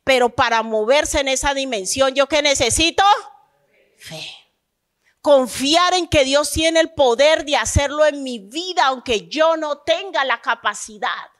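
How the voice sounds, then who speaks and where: Pastor woman, fervent and higher-pitched. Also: a little slow, a bit bright. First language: Spanish. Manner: conversational storytelling that builds to fervent preaching. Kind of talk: sermon with personal testimony.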